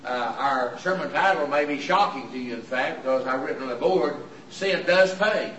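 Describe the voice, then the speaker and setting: A visiting preacher, his voice hoarse and raspy from throat trouble, delivering an earnest sermon in a halting way with frequent "uh" fillers. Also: mid-range voice, fairly bright tone, muffled recording. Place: church auditorium. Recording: echoey hall from far off with room noise.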